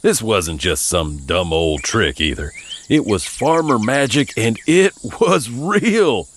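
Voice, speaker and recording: Male storyteller, American, low voice with lively swings, folksy and wry, noticeable background noise. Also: takes it slowly. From Virginia, United States. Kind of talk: first-person narrated story.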